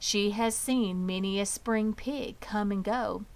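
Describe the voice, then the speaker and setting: A woman voicing a calm, motherly female spider in a children's storybook, brisk, firm and no-nonsense. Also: mid-range voice. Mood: thoughtful. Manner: measured, no-nonsense. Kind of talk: children's storybook character reading.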